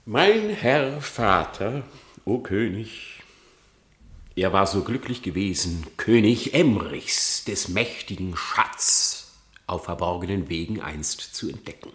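A sly, lying fox in a classic verse radio drama. His delivery is highly animated and theatrical, with a bright, tense edge, lurching between hushed confession and outcry in feigned remorse. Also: slow pace, full character voice. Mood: scheming, dramatic. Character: cunning lying male fox pleading before his execution.

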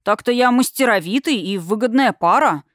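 A woman's voice: fairly high pitch, lively intonation, moderate pace, fairly bright tone, no room sound, clean studio sound. A woman voicing a cocky, earnest eighteen-year-old male shifter with an indignant, boastful manner in a fantasy audiobook.

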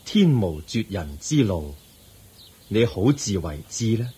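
An actor playing a grave, wise spiritual teacher in a radio drama, solemn and admonishing in Hong Kong Cantonese. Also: male voice, lowish voice, big pitch swings, takes it slowly, dark tone, breathy edge, heavily acted.

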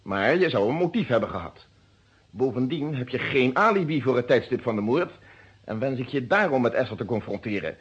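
A muffled vintage radio recording carries a methodical inspector with a Netherlands Dutch accent. His mid-pitched voice is somewhat husky. He speaks formally and precisely, as an official on a case. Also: expressive, a little fast. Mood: firm, measured. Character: formal, methodical male police inspector, measured and correct. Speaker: actor in a detective radio play.

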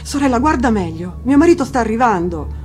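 A woman's voice: a little high, very animated, fairly quick, a little mellow, pitched above the performer's own voice. A woman voicing a terrified young wife in a fairy tale, trembling and pleading anxiously. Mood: anxious, urgent. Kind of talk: dramatic fairy-tale reading. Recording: music under the voice.

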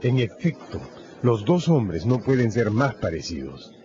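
A man narrating a radio novel in a scripted, dramatic storytelling style with measured phrasing. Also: mid-range voice, big pitch swings, lo-fi sound, noisy background.

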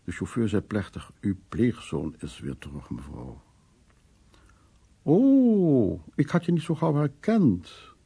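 A man's voice: lowish voice, sing-song, slow, mellow, slightly rough, exaggerated voice. An actor playing an evasive, hard-drinking man in a radio drama, telling his stories in a rambling, teasing way. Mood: reflective, storytelling. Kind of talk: Dutch radio drama acting.

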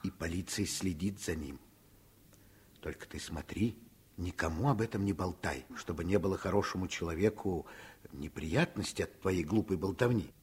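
An actor playing a principled, gruffly affectionate middle-aged father, patient, teasing and explanatory, in a Soviet radio play. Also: deep voice, normal speed, a little mellow. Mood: serious. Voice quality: husky.

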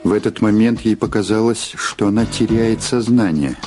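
An actor playing the serious narrator of a crime audio drama, reading dramatic scripted narration. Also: male voice, lowish voice, normal speed, mellow, faint music behind, natural voice. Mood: grave.

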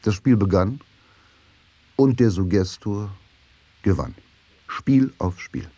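An actor playing the grave narrator of a radio crime drama, delivering scripted prose in deliberate, suspenseful phrases. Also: male voice, on the low side, warm tone, husky, no room sound, muffled recording. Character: grave, measured male storyteller of a crime tale. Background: faint music.

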